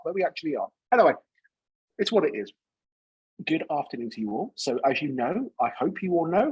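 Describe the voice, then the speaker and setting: A man's voice: on the high side, a little mellow, breathy edge, low-quality recording. A British man hosting a coaching community's livestream, talking in a chatty, rambling, upbeat way. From United Kingdom.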